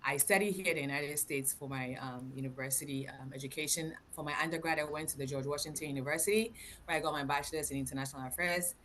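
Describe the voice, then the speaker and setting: A woman in her forties from Ghana, a guest presenter speaking over a video call, lively and laughing often between fillers. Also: low voice, quick pace, a little hiss or hum.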